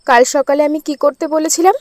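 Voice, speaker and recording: A woman's voice: high-pitched, fairly even intonation, a little fast, close to an everyday voice. An actress playing an insistent woman of the household in an audio drama. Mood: insistent reminding. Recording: long reverb on the voice.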